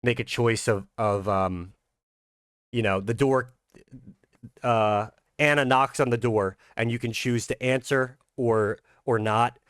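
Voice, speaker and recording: A man giving casual, halting commentary as a hobby game creator. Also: medium pitch, fairly even intonation, fairly bright tone, clean tone, no room sound, studio quality. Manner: hesitant, halting.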